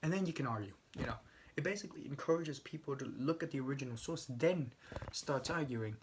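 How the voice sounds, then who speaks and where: A man's voice: mid-range voice, fast, a little hiss or hum. A young man rambling casually, swearing often and stumbling over restarts.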